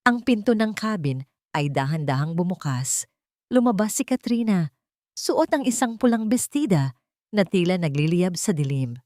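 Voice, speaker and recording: Low-voiced woman narrating drama. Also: darkish tone, no room sound, clean studio sound. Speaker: story narrator.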